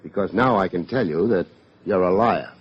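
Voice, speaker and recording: An American actor playing a wry, hard-boiled private detective in an old radio drama, with dry, needling delivery. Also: male voice, low voice, normal speed, natural voice. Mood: sardonic. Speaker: private investigator and narrator. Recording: dull vintage radio recording.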